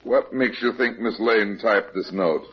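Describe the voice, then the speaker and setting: An actor playing a cool, blunt private detective in a 1950s radio drama, terse and wryly deadpan. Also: male voice, on the low side, measured pace, breathy edge. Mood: dry, knowing. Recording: muffled 1950s radio recording.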